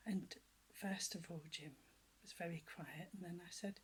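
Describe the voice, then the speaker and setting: An older woman with a soft, breathy voice reads a children's story aloud. She tells it gently and lively, sliding into hushed whispers for the exciting parts and asking her small listeners questions as she goes. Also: fairly low pitch, a little slow, rough recording. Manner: talks directly to young listeners with questions. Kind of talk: children's storybook reading with personal asides.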